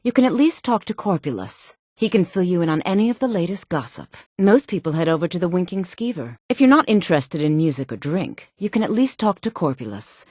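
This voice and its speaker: Low-voiced sultry, self-assured fantasy woman. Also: sing-song, a little mellow, no echo, clean studio sound. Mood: cool, courteous. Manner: sultry, poised, measured, haughty. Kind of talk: video game character dialogue.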